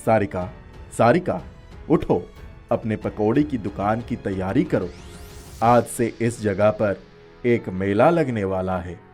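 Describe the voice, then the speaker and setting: A man's voice: on the low side, slow, darkish tone. A man voicing a helpful magical talking pakora in a children's story, giving instructions in a commanding way. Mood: urging, helpful. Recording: music playing behind the voice.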